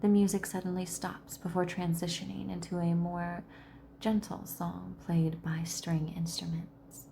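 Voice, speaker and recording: A woman's voice: lowish voice, level tone, unhurried, gravelly, a little hiss or hum. A woman reading game text aloud as a gaming commentator and breaking into casual reactions. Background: loud game music.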